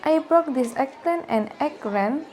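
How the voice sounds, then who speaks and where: Young woman as a high-voiced agreeable schoolgirl. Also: lively intonation, unhurried, a little mellow. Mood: apologetic. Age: young adult. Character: shy, agreeable teenage schoolgirl. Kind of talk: student-made radio drama acting. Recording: noisy amateur recording, faint chatter.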